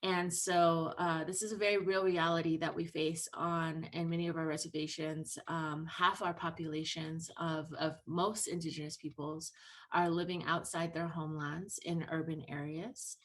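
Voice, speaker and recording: A woman's voice: deep voice, level tone, normal speed. A woman in her thirties giving a loose, earnest presentation on a video call, with many ums and restarts. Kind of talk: online presentation talk. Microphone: laptop mic on video call.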